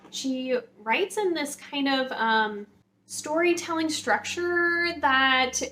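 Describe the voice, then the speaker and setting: A woman's voice: a little high, a bit bright, slight background noise. A woman hosting a casual, chatty book vlog, talking informally to viewers with rambling, hedging asides. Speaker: book vlogger, channel host.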